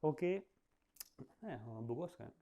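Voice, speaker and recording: A man in his late thirties with a southwestern French accent, giving a sales masterclass in a relaxed, rambling way, leaning on fillers and laughing at himself. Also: a little high, slow pace, lo-fi sound, a crowd in the background. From southwest France. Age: 30s. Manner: chatty, loose, full of fillers, laughs at himself. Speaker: sales trainer. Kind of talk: casual spoken masterclass.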